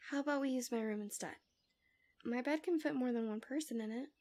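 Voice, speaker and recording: A tender but manipulative villainess acted by a woman speaks in an intimate ASMR roleplay. Her voice sits a little high and stays gentle and breathy, often sinking into a whisper. She coaxes and soothes like a possessive, seductive captor. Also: a little fast, lightly acted. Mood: gentle coaxing.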